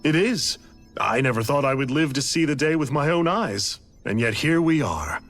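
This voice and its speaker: Eccentric game scientist, animated pitch swings, blithe, theatrical lecturing, faint music behind. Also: male voice, normal speed. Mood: blithe, detached. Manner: theatrical, casually detached, lecturing. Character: eccentric, blithe male scientist, coolly explaining a grand scheme. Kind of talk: scripted video game voice acting.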